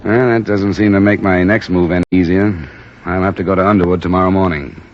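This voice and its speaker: An actor as a dry, deep-voiced insurance investigator. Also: male voice, steady tone, fairly quick, slight background noise, close to an everyday voice. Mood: gentle. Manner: dry, level, matter-of-fact. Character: tough, decent insurance investigator man. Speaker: lead and narrator. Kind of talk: 1950s radio drama acting. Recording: muffled old radio recording with music. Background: music score.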